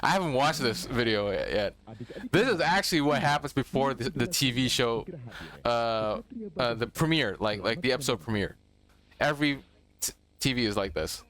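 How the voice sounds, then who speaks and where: A man's voice: medium pitch, lively intonation, normal speed, fairly bright tone. A young man from Indonesia, Indonesian as his first language, chatting casually and nostalgically as a reaction video host, rambling with fillers.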